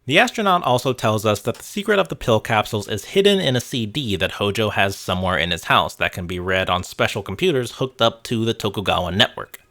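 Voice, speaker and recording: Animated low-voiced man narrating a video essay. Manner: wry, conversational, casually sweary. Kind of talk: scripted video essay narration with casual asides. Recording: background music under narration. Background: music.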